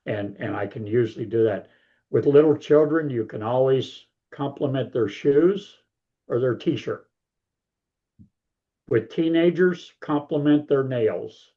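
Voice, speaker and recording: A man's voice: mid-range voice, measured pace, mellow, lo-fi sound. An older man, a grandparent, talking casually as an author guest in an interview, in a big voice with a folksy, rambling manner.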